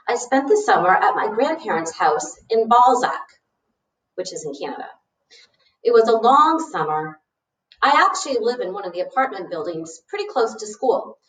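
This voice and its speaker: A woman's voice: fairly high pitch, sing-song, moderate pace. A woman reading a storybook letter as a self-assured, chatty seventh-grade boy who talks frankly and boasts a little. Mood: boastful, chatty. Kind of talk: storybook read-aloud of a letter. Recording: roomy, echoey, distant mic.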